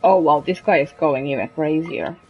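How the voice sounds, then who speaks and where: A woman's voice: low-pitched, normal speed, highs cut off, crowd noise. A woman streaming a game, giving casual commentary and reading lines aloud.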